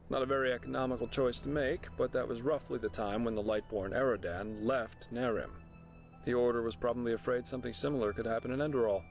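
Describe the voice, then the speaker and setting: A man's voice: mid-range voice, close to an everyday voice. Man playing a wry, easygoing adventurer guide. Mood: explaining, easygoing. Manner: casual, jokey, explanatory. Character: chatty, wry, easygoing adventurer guiding a newcomer. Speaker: travelling companion and guide character. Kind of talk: scripted fantasy game dialogue.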